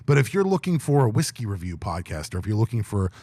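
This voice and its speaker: A young man with a deep voice, co-hosting a podcast in casual, talkative chat. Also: quick pace, dry recording. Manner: talkative, animated. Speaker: podcast co-host.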